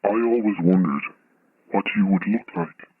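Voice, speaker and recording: A man's voice: low voice, slightly rough, dry recording. An actor playing a creepily friendly, obsessive male caller in an audio horror drama, heard through a telephone filter. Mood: creepily eager. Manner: slow, deliberate, creepily friendly. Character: menacing, obsessive male stalker phoning a radio show. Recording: thin, muffled phone line.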